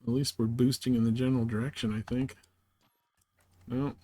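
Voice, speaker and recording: A man's voice: medium pitch, flat delivery, moderate pace, mellow. A man streaming a game, commenting casually and muttering to himself with slangy, mildly profane asides. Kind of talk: casual live gameplay commentary.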